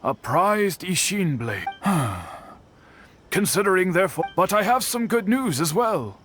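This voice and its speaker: A man voicing a courteous, earnest swordsmith in a video game, deliberate and expressive. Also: sits high, heavily acted. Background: faint background music.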